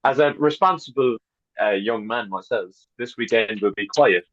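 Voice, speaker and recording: A man's voice: lowish voice, normal speed, a bit bright, highs cut off. A man co-hosting a podcast chat with dry jokes and mock grumbling. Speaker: podcast co-host. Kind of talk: casual chat over a video call.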